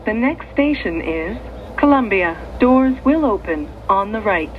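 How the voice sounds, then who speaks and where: A woman reading scripted transit announcements in a measured, clearly segmented way, as a train announcer. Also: mid-range voice, expressive. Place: played over speakers inside a train. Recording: tinny band-limited speaker, noisy train interior. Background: train and vehicle noise.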